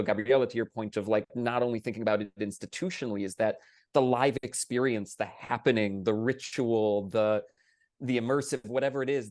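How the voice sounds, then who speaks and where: A man speaking earnestly as a panelist in a thoughtful discussion, sometimes halting as he builds his point. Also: medium pitch, a little mellow, no room sound, clean studio sound.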